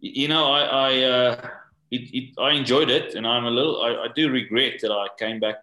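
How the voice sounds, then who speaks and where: An older man from South Africa, English not his first language, answering interview questions in a halting way and often repeating words. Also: mid-range voice, steady tone, pushed and bright, echoey room, poor audio. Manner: halting, repeats words while searching for them. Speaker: guest, rugby coach. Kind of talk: podcast interview.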